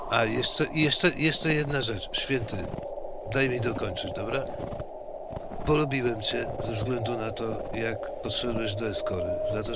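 A man speaking bluntly and improvising in-character dialogue as a player in an online tabletop role-playing game. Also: mid-range voice. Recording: online session with loud background noise.

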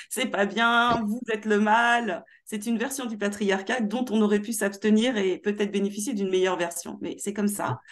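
A young woman from Paris with Martinican roots, speaking as a guest in an animated interview over a video call. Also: medium pitch. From Martinique heritage, born in Paris. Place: small room at home.

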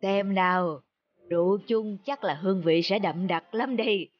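A woman's voice: mid-range voice, normal speed, muffled recording, natural voice. A woman voicing a shrewd old village man in a folk-tale cartoon, mocking and scolding.

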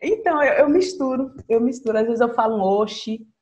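A woman's voice: a little high, a little fast, muffled recording. A woman in her thirties, a nun from Espírito Santo with a mixed Minas Gerais and Bahia accent, chatting animatedly on a video call and adding "né?" to her phrases. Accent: mixed Brazilian, Minas Gerais and Bahia. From Espírito Santo, Brazil. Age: 30s. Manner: animated, laughs often, adds "né?" to phrases. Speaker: nun and singer, podcast guest. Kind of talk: casual conversation. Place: plain room, video call.

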